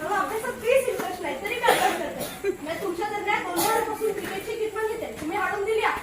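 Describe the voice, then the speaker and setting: A woman's voice: very high-pitched, racing. A woman playing a cheeky, aggrieved young son in a stage play, exclaiming and pleading. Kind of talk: amateur stage play acting. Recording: echoey hall from far off, crowd noise.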